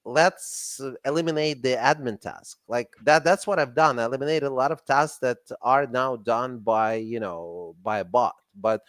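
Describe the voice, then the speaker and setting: A man with a Russian accent, a company CEO talking as a podcast guest in an animated way, often repeating words as he warms up. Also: medium pitch, moderate pace, few pauses, no echo. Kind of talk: casual podcast conversation.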